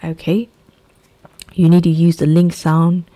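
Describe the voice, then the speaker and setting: A woman from Myanmar with a Burmese accent, an English teacher giving an exam-prep lesson and repeating key phrases slowly to drill them. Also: fairly low pitch, flat delivery, warm tone.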